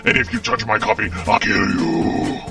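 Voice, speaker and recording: A man's voice: lowish voice, sing-song, normal speed, vocal fry, exaggerated voice. A man voicing a menacing, possessive soul-eating fiend in a comic radio ad skit, with music behind him. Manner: threatening, possessive.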